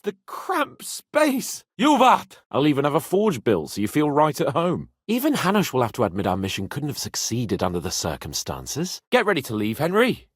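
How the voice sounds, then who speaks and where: A man's voice: sits high, husky, no echo, studio quality, full character voice. An actor voicing a young medieval nobleman in a video game, imperious, playful and hot-tempered, with a teasing, dramatic delivery. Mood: uneasy, distressed. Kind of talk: video game character acting.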